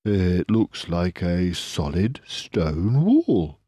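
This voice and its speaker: A mild, worried, respectable badger, put on by a man reading a storybook. The voice is mellow and extremely slow, hesitating earnestly as it picks through each phrase. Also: sits low, sing-song, breathy edge, no echo, clean studio sound, exaggerated voice. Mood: puzzled. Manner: hesitant, deliberate, bumbling. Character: gentle, anxious, respectable male badger, loyal and slow.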